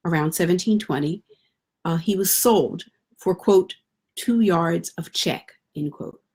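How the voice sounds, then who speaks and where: A woman literature professor giving a measured scholarly talk over a video call. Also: on the low side, a little mellow, slightly rough. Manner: measured, lecturing, occasional restarts. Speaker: literature professor, guest speaker. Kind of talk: scholarly talk at an online event.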